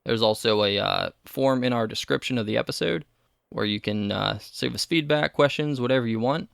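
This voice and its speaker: A man in his thirties co-hosting a casual podcast chat, relaxed and easygoing. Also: medium pitch, normal speed, gravelly, dry recording, clean studio sound. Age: 30s. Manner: relaxed, reads listener mail aloud. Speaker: podcast co-host.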